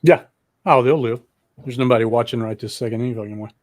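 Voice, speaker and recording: A man's voice: medium pitch, fast talker. A man hosting a live streamed talk show, chatting casually and swearing freely as he fumbles with the streaming setup. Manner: profane, rambling and excitable. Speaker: podcast host.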